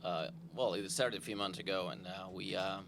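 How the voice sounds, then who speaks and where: A man from Spain with a Spanish accent, a company executive speaking haltingly at a press conference, with many fillers and restarts. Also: deep voice, fairly even intonation, creaky, background noise.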